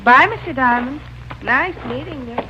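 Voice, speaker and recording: A woman's voice: sits high, expressive, normal speed, highs cut off. A woman playing a poised, sociable and flirtatious rancher's widow in a 1940s radio drama. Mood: gracious. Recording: band-limited, faintly noisy old radio recording.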